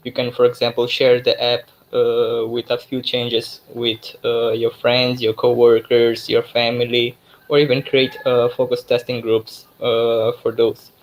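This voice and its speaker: Young man from Mozambique, native Portuguese, mid-pitched voice, loose online tech talk full of fillers. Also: measured pace, slight background noise, poor audio. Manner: relaxed, many fillers and restarts. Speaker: guest speaker, developer expert.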